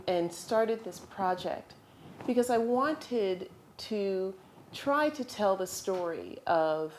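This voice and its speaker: Lower-voiced woman hosting a panel chat, loose and easy, bit of faint noise behind. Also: a little mellow. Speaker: author and moderator. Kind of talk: panel moderation at a live event.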